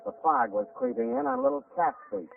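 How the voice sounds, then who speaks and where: An actor playing a hard-boiled private detective, narrating with wry, deadpan American delivery in a 1940s radio drama. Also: male voice, very high voice, brisk, vocal fry, far from the mic, exaggerated voice. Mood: weary, wry. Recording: muffled, noisy 1940s radio recording.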